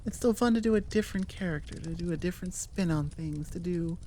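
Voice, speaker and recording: A woman's voice: fairly low pitch, fast talker, dark tone, slight background noise. A woman giving a casual, rambling outro as host of her audio channel, self-deprecating and wandering off on tangents at the microphone. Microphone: sitting at a computer microphone, recording into audio software.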